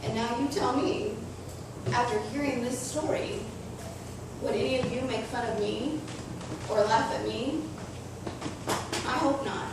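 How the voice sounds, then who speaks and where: A woman with a raspy voice speaks earnestly to schoolchildren in a slightly high register. The sound is distant and boomy, caught in a hard, echoing school hall with steady noise underneath. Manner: earnest, slow and deliberate with children. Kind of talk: personal talk on choices. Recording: echoey room, distant mic, noisy.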